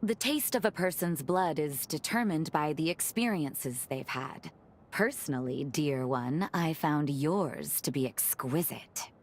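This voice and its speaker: Expressive, low-voiced gentle vampire woman. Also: measured pace, a little hiss or hum. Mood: fond, reflective. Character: gentle revenant woman, a vampire who loves cooking. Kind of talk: video game cutscene acting.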